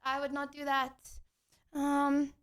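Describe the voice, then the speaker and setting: Casting: woman playing a snooty French madame for livestream comedy, very high voice, slow delivery, theatrical put-on French accent. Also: monotone. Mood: boastful, playful. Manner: pompous, theatrical, repetitive. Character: haughty, flamboyant French lady food snob with mustache. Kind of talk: playful in-character livestream chat.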